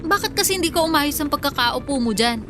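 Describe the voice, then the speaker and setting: An actress playing a tough, frank female tricycle driver, guarded in love, with brisk plain-spoken banter in a radio drama. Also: sits high, lively intonation, background noise. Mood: feisty banter. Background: music.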